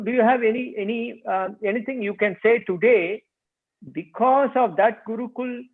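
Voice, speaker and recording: High-pitched male interviewer, muffled sound. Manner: rambling, rephrasing questions as he goes. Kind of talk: conversational interview.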